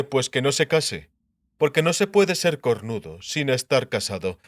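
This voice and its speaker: A man's voice: mid-range voice, dry recording, studio quality, close to an everyday voice. An actor playing a shrewd, self-assured king in an audiobook drama, pompous and drily sardonic.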